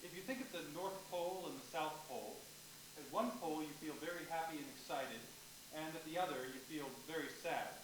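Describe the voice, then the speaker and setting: Knowledgeable, earnest male explainer male actor, lightish pitch, stage reading in a noisy echoey hall. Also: brisk, lightly acted. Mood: explaining. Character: George, knowledgeable earnest man explaining to a child. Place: indoor stage of a university theatre. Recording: distant, very echoey, noisy theatre recording.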